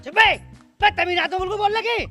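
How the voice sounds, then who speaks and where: Man acting a high-voiced, bossy village schoolmaster. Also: fairly quick, bright, forceful tone, soft background music. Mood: scolding. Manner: scolding, commanding. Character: bossy, exasperated village schoolmaster refereeing a dispute. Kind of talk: broad comedy sketch acting.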